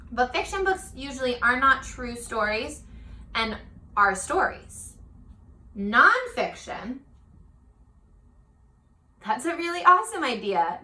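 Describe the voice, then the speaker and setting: A woman leads a read-aloud lesson for young children in a bright, fairly high voice. She speaks slowly, asking simple questions and offering encouragement. The room is noticeably echoey. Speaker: teacher.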